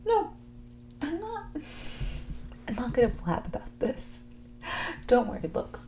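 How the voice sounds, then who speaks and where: A woman playing a mean, condescending rich young woman on a first date in a whispered, soft-spoken ASMR roleplay, with a bored drawl. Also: high-pitched, very animated, unhurried, slight background noise, full character voice. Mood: conspiratorial, smug. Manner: condescending, drawling, bored, valley-girl filler "like".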